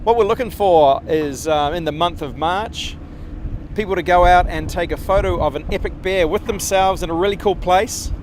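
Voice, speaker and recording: Brief: New Zealand man, fairly high voice, upbeat promo read, faint city noise behind. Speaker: brewery representative. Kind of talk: recorded promo message. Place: outdoors with city skyline behind.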